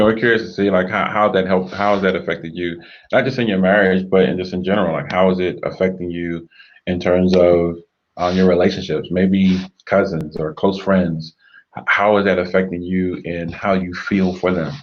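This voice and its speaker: A man with a very deep voice co-hosts a marriage discussion, thoughtful and measured. He speaks earnestly and drops in steady murmurs of agreement. The sound is muffled and lo-fi. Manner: measured, reflective, frequent affirming murmurs. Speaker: co-host of a marriage talk show.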